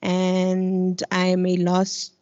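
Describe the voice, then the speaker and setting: A woman's voice: fairly low pitch, completely flat delivery, very slow, mellow, clear, resonant tone. A young South African woman, a law student, introducing herself on a panel in a polite, slightly self-conscious way. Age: young adult. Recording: dull lo-fi sound.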